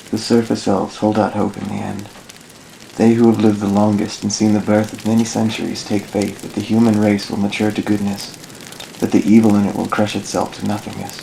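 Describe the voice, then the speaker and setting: A low-pitched, thoughtful elf ranger, acted by a man for a fantasy audio drama. He speaks formally and solemnly, like an idealist recounting a long life. The amateur recording has noticeable noise behind the voice. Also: vocal fry, mellow, rough recording. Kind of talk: fan audio drama narration, literary monologue.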